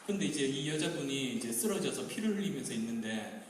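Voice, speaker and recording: A man on stage telling a story in a relaxed, conversational way, with hesitant fillers. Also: medium pitch, a little fast, slight background noise. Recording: echoey hall, distant mic.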